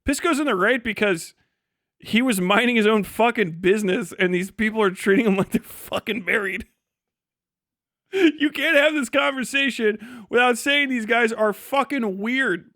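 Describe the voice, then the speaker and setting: A man's voice: extremely high pitch, moderate pace, a bit bright, no room sound, studio quality. A man giving casual livestream commentary in a profane, blunt, sardonic way. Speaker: livestream host.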